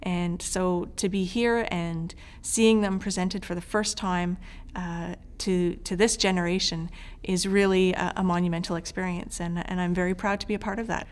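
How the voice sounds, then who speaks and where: A woman's voice: lowish voice, fairly even intonation, moderate pace, smooth. A woman giving earnest interview remarks as an organization's representative, repeating phrases for emphasis.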